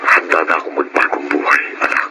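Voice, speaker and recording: A man's voice: extremely low pitch, little pitch movement, brisk. A man with a Filipino accent playing a middle-aged, remorseful father and former thief who pleads earnestly with his family in a Tagalog radio drama. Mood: remorseful pleading. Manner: emotional, earnest, heavy delivery. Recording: very noisy radio drama recording.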